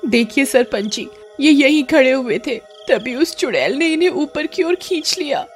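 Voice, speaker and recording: A woman voicing a worried village wife, urgent and imploring, in an animated story. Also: sits high, moderate pace, soft background music, pitched above the performer's own voice. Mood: alarmed. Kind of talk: Hindi animated story acting.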